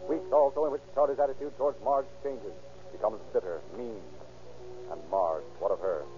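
A man's voice: medium pitch, fairly even intonation, moderate pace, music in the background. A man giving dramatic, clipped scripted narration for an old-time radio crime show. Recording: thin, phone-like vintage radio recording.

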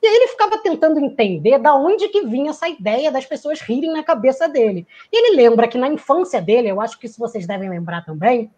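A woman's voice: sits high, lively intonation, fast talker, few pauses, warm tone, dull audio. A woman who works in theater giving a reflective talk, musing aloud, searching for words and acting out quoted lines.

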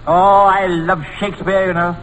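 A man's voice: high-pitched, flat delivery, moderate pace, natural voice. An actor playing a muddled, cheeky quiz panelist in a 1940s radio comedy, bumbling and stammering as he repeats himself. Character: muddled, cheeky old-boy quiz panelist, a lovelorn fool. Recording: noisy old radio recording.

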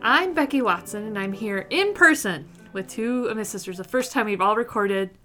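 A woman in her thirties hosting a casual podcast in a room with her sisters, earnest and quick to laugh, pausing with fillers as she thinks aloud. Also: medium pitch, lively intonation, sharp tone, soft background music. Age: 30s. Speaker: podcast host. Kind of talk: casual faith podcast chat.

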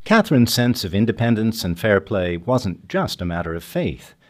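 Lively, fairly low-voiced male documentary narrator. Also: moderate pace, a little hiss or hum. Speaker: narrator and interviewer.

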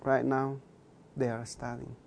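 Gravelly man with a K'iche' Mayan accent. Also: medium pitch, flat delivery, measured pace, a little mellow, slight background noise. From Guatemala. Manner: earnest, repeats phrases for emphasis. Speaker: guest. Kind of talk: earnest interview talk.

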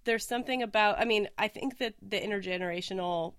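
A chatty woman in her late twenties from Oregon hosts a casual podcast. She talks at a middle pitch, sprinkling in likes and quick laughs. The recording is close and dry, with no room sound. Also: no echo. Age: late 20s. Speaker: podcast host. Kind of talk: casual conversational podcast chat.

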